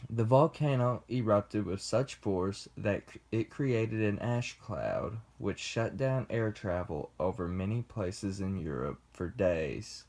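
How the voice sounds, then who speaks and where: A young man giving a class presentation, reading slowly and deliberately with pauses. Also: lowish voice, lively intonation, a little mellow. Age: young adult. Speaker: student presenter. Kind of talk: class presentation read from script.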